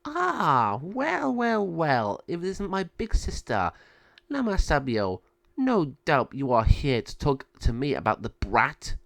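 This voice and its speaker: A man's voice: fairly high pitch, huge pitch swings, takes it slowly, creaky, fairly bright tone, dry recording, voice pushed up, heavily acted. A man voicing a scheming, sneering female sorceress villain in a storybook tale. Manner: sneering, gloating.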